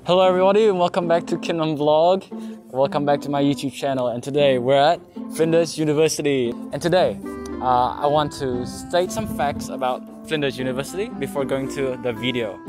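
A man's voice: on the high side, fairly quick, over music. Upbeat young man hosting a campus vlog. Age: young adult. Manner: upbeat, repeats the answers back. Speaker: vlog host interviewing students. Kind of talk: casual street interview vlog. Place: outdoors on a university campus and in a library. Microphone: handheld mic.

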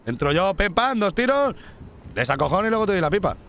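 A man's voice: high-pitched, fast, slight background noise. A young man with a Spanish accent from Spain, streaming a crime roleplay game and chatting to his viewers in a loose, swearing way. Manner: animated, swearing, jumps between in-game talk and asides to viewers. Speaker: streamer roleplaying a bank robber. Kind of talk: casual gaming roleplay chat.

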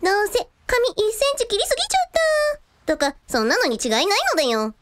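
Radio drama younger sister, played by a woman: high, animated, teasing, quirky mock-pompous turns of phrase. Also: fast, no room sound, full character voice. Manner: quirky, pompous sentence endings. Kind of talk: Japanese radio drama acting.

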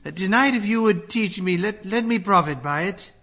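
An actor playing an anxious, humbled old miser who speaks hesitantly in a radio play. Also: male voice, high-pitched, expressive, moderate pace, fairly bright tone, exaggerated voice. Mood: humble. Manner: hesitant, stammering.

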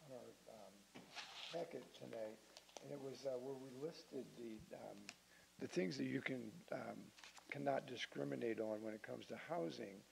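A man speaking informally as a council member at a meeting, with a hesitant, halting delivery. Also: medium pitch, creaky. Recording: hissy meeting-room audio.

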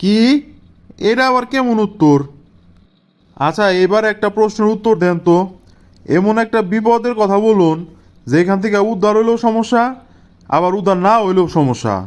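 Man playing exasperated cartoon interviewer, high male voice, Bangladeshi accent, bossy questioning, colloquial comic delivery. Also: moderate pace, pauses often, clear, resonant tone, lightly acted. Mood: bewildered.

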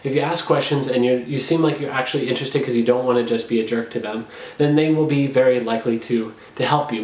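A man's voice: mid-range voice, darkish tone, echoey room. A young man in his twenties chats advice to camera, full of restarts and filler words. His mid-range voice bounces around a small bare room. The camera audio is dull and lo-fi. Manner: rambling, frequent fillers and restarts, laughs between points. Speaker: travel blogger. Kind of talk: casual advice vlog. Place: small bedroom with bare wall. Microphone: camera on a makeshift tripod.

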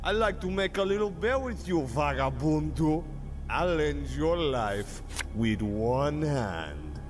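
A man acts a suave gambler assassin from an anime parody dub. His taunts come slowly in a lively, fairly high voice. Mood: smug, threatening. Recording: music playing behind.

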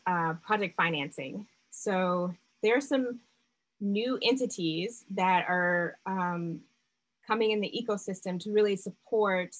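A woman startup founder presenting a seminar talk over slides, measured and full of fillers and restarts. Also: mid-range voice, poor audio.

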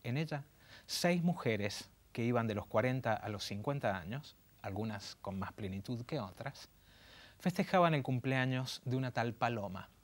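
A man in his forties with an Argentine Spanish accent, hosting and reading a story aloud in an intimate, theatrical way with slow, dramatic pauses. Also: mid-range voice, expressive. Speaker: host and narrator. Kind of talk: late-night story reading. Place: small dim studio set.

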